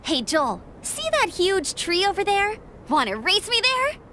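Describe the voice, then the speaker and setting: Very high voice, bubbly girl adventurer, upbeat, lively melody, video game dialogue. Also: measured pace, a little hiss or hum, exaggerated voice. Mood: cheerful. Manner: upbeat, encouraging.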